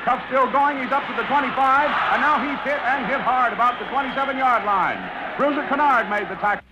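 A man's voice: very high voice, brisk. A man calling football play-by-play in clipped short sentences, in old-time radio commentary style. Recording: dull, noisy vintage radio broadcast.

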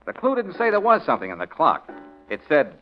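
A wry, take-charge private detective in a vintage radio drama. He is brisk and dryly patient, with a very lively, swinging delivery. The recording is dull and muffled. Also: fairly high pitch, soft background music. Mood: calm, focused. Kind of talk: 1940s-50s radio detective drama acting.

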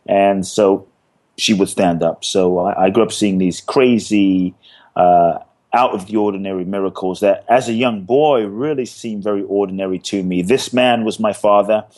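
A man's voice: fairly low pitch, moderate pace, a little mellow. A man raised in London telling his life story as a podcast guest, earnest and repeating phrases for emphasis. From born in Ghana, grew up in London. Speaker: guest, speaker and teacher. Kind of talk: personal story in a podcast interview.

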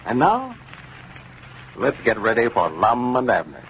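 A man's voice: medium pitch, wildly swooping pitch, measured pace, fairly bright tone. An American man announcing on 1930s radio in a polished, emphatic, scripted delivery. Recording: muffled, noisy 1930s radio recording.

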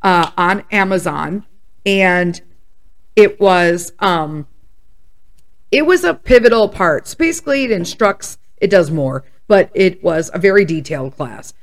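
A woman in her fifties from Chicago, hosting a casual solo podcast chat. She is slightly hoarse and congested, and she talks candidly with bursts of excited whoops. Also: on the low side, a little slow. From Chicago, USA. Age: 50s. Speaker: podcast host.

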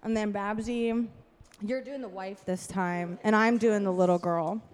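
A woman's voice: mid-range voice, steady tone, a little slow, darkish tone. A woman hosting an improv podcast casually, directing and organizing the people around her. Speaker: host of an improv radio-play podcast.

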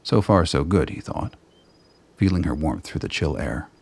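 A man reading scripted narration for a radio play in a deep, gravelly voice. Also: expressive, moderate pace, darkish tone.

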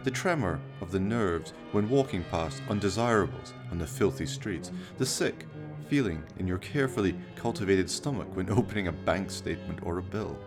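A man playing a sinister, courtly fireside storyteller, narrating a horror radio play with slow, mock-polite, menacing theatricality. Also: low-pitched, lively intonation, music in the background. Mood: menacing, scornful.

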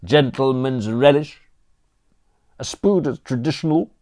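A man's voice: medium pitch, unhurried, darkish tone, pitched above the performer's own voice, close to an everyday voice. A man voicing a brash, cheerful male butler with a cheeky, slangy way of talking. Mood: breezy. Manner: breezy, cheeky, working-class slang.

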